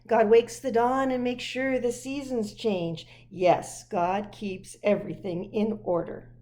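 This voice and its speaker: A woman voicing a gentle, patient parent answering a child in a measured, reassuring way, from a picture book. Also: on the high side, steady tone.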